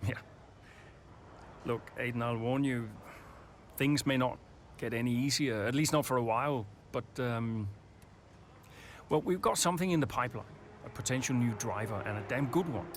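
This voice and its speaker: A man playing an earnest, guarded racing team boss in a video game, speaking in a halting, confiding, understated way. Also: mid-range voice, slight background noise, close to an everyday voice.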